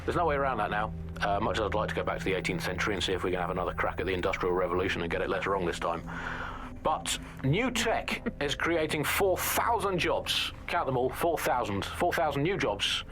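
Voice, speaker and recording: A man's voice: lowish voice, very animated, brisk, background noise. A middle-aged British man playing a wry, sardonic pirate radio host who delivers rambling political satire.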